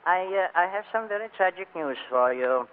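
Fussy, formal doctor on muffled old radio. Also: male voice, sits high, lively intonation, moderate pace, noisy background. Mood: grave, placating. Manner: formal, hesitant, placating. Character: courteous, fussy, flustered male doctor ruling on sanity. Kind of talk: 1940s radio comedy acting. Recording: muffled vintage radio broadcast.

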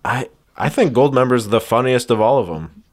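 Guy podcast cohost, jokey casual banter, middle pitch voice. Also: expressive, normal speed. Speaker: podcast co-host.

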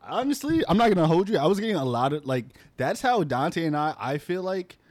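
A man in his twenties hosting a podcast, asking long rambling questions and getting animated when reminiscing. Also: a little high, a little fast. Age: 20s. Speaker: podcast host. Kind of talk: casual podcast chat.

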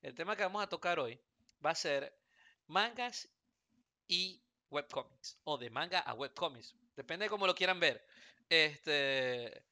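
Venezuelan man, 30s, podcast host; raspy-bright, clean and dry sound, rambling humor. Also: a little high, expressive, unhurried, no room sound. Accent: Venezuelan Spanish. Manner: joking, rambling with many fillers and restarts. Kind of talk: casual podcast chat. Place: Houston, United States.

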